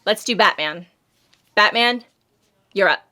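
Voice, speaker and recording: A woman's voice: mid-range voice, unhurried, pushed and bright. A woman with a Long Island accent, rambling and self-deprecating as she chats through makeup reviews, a little sniffly from allergies. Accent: Long Island New York. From United States. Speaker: beauty vlogger. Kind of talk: casual makeup review chat.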